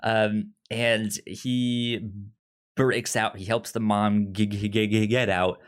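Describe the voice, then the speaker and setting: A man co-hosting a podcast, discussing a film casually in a halting way, often stammering and repeating words. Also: fairly low pitch, little pitch movement, clean tone. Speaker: podcast co-host.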